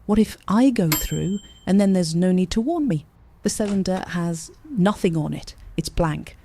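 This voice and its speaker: An actress playing a thoughtful, questioning time-travel investigator in an audio drama, speaking earnestly. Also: female voice, lowish voice, animated, normal speed, mellow. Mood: earnest, worried.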